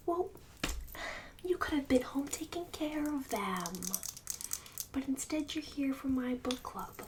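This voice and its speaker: A woman's voice: fairly high pitch, recorded from a distance, noisy background. A woman playing a pushy, boastful suburban mother in an ASMR roleplay, condescending and fake-sweet, often dropping into a whisper. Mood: fake-sweet, snide. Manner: condescending, fake-sweet, snide, passive-aggressive.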